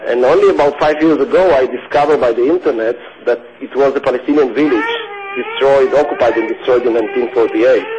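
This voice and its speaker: A man, answering radio interview questions in a thoughtful, halting way. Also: medium pitch, crowd noise. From Israel. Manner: thoughtful, halting, searching for words. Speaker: guest. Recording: dull lo-fi radio sound.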